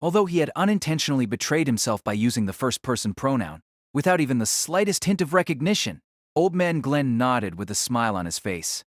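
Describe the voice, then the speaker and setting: A man's voice: mid-range voice, big pitch swings, brisk, dry recording, clean studio sound. A man narrating a novel as a scripted audio drama.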